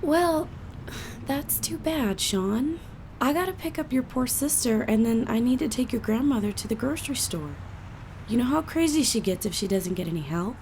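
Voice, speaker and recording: A woman's voice: fairly high pitch, animated, normal speed, a little mellow. A woman playing a harried, exasperated mother driving her young son in an audio drama, weary and clipped. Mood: firm, exasperated. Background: car driving noise.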